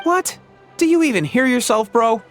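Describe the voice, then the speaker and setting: A man's voice: extremely high pitch, animated, moderate pace. An actor playing an easygoing, good-natured young man in a video game, slangy and readily exasperated. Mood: incredulous. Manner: slangy, sighing and exclaiming. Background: faint game background music.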